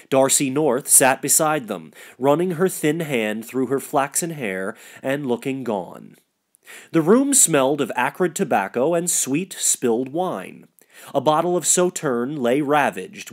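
A man's voice: medium pitch, a little mellow. A man giving a scripted narration of literary fiction as the reader.

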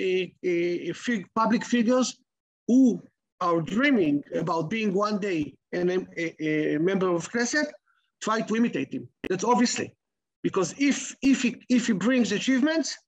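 A middle-aged man with an Israeli accent giving an online lecture in halting English, full of repeats and restarts, over a video call. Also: high voice. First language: Hebrew. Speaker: guest lecturer.

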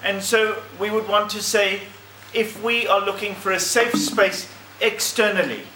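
A middle-aged man giving a conference presentation in an emphatic, measured, oratorical way with repeated phrasing. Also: high voice, bright, forceful tone. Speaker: clergyman presenting as committee member. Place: conference room, indoors. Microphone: handheld microphone. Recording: roomy, slightly distant, faint noise.

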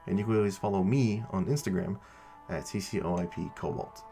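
A man's voice: sits low, brisk, gravelly, dark tone. A man hosting a podcast, giving an informal announcement to listeners in casual language with some mild cursing. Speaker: podcast creator and host. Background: background music.

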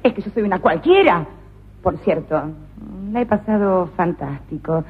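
A woman's voice: on the low side, expressive, moderate pace, slight background noise, lightly acted. A performer voicing a cruel, sadistic woman who runs a prison, domineering and scornful, in a comic radio play. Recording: tinny lo-fi radio recording.

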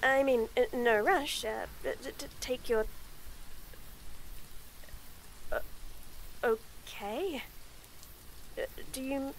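A woman's voice: high voice, vocal fry, slight background noise, full character voice, low-quality recording. A woman voicing a shy stammering tomboy friend. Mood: stammering hopeful. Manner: stammering, halting, soft. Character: shy tomboy female friend, young adult, nervous and sweet. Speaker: voice actress. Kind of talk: scripted audio roleplay.

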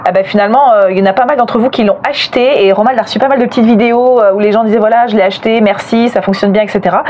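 A woman's voice: fairly high pitch, fairly even intonation, racing, a little mellow. A middle-aged woman, chatting in a colloquial way to camera as co-host of a family travel vlog. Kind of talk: casual chat to camera. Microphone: clip-on lapel mic. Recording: noticeable background noise.

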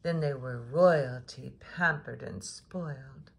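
A woman's voice: very deep voice, slow, creaky. A woman storyteller reading a picture book to young children in rhythmic rhyme, with chatty questioning asides. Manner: rhythmic rhyming delivery, engaging listeners with questions.

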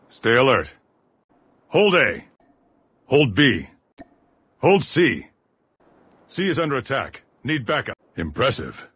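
An actor voicing a gruff, aggressive soldier giving terse, commanding squad callouts in a military video game, with a rough, husky voice. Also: male voice, mid-range voice, a bit bright, exaggerated voice. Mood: alert, tactical, then brisk, matter-of-fact. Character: gruff armored male soldier, aggressive squad mate in combat. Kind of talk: video game combat voice lines.

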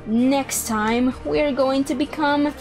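A young woman hosting a gaming video, narrating and chatting through a roleplay in an exclamatory, animated way. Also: high voice, takes it slowly, faint music behind. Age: young adult. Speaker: YouTube gaming host.

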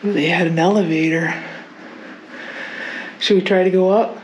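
A woman quietly exploring an abandoned building, talking casually and cautiously while filming. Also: fairly low pitch, steady tone, normal speed. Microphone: handheld camera. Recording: noisy handheld camera audio.

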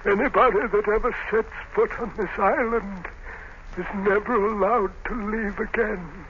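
A man playing a haunted, despairing old captive sea captain, halting and ominous, in 1930s radio drama style. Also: sits high, steady tone. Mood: despairing, warning. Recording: muffled, noisy 1930s radio recording.

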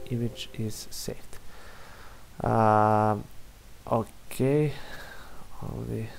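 A young man from Serbia with a Serbian accent, a software developer walking through a screen-recorded demo in halting English full of fillers. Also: mid-range voice, gravelly, poor audio. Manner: halting, many fillers and restarts.

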